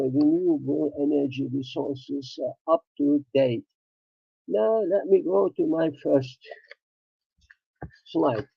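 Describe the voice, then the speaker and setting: A man with a Turkish accent, a Turkish native speaker, giving an academic conference presentation, halting often with hesitations. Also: on the high side, vocal fry, husky, no room sound, muffled recording.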